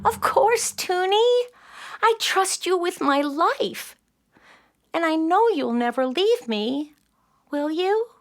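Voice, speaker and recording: Brainy little girl, very high voice, swooping melodrama, children's audio play, long reverb. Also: lively intonation, slow, full character voice. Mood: timid, trusting. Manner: melodramatic, pleading. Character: nine-year-old girl, brainy, emotional, fearful, dramatic.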